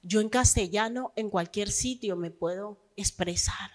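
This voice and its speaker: A woman's voice: mid-range voice, measured pace. A woman pastor giving a sermon, telling a personal testimony in a conversational way and building to fervent preaching. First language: Spanish.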